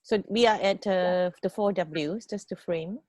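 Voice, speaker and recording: A woman's voice: on the low side, unhurried, darkish tone, no room sound. A woman talking informally as a co-presenter in an online meeting. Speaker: co-presenter sharing slides. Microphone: video call microphone.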